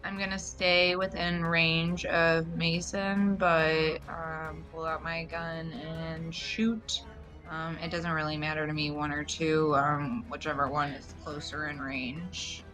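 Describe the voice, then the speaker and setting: A woman's voice: deep voice, flat delivery, slow, music in the background. A woman playing a tabletop roleplaying game, chatting casually as a player.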